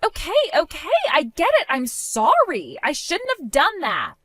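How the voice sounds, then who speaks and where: Woman doing a sneering, giggly future sister-in-law for an audio drama; bright, bouncy, dry close sound. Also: sits high, wildly swooping pitch, measured pace, dry recording, soft background music, voice pushed up, full character voice. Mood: pleading. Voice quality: giggly, taunting. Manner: sing-song, dismissive, turns pleading.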